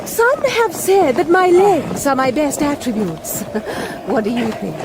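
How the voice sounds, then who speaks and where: An actress playing a vain, flirtatious, catty harpy in a radio fantasy comedy. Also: female voice, high-pitched, very animated, slow, exaggerated voice. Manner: coquettish, needling. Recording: clear background noise behind the voice.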